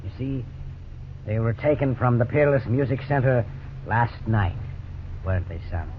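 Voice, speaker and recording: A tinny old radio recording with faint hiss carries a male actor as a police officer. He is calm, polite and persistent, questioning in a measured, mid-pitched voice. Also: lightly acted. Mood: firm, patient. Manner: measured, courteous, firm. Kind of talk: 1950s radio drama acting.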